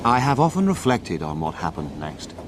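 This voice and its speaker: A detective's loyal friend recounting an adventure in a radio drama. He is grave and measured yet widely varied in pitch, over clearly noisy ambience. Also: sing-song. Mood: grave, dramatic. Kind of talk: scripted first-person drama narration. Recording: clear background noise, rumbling ambience.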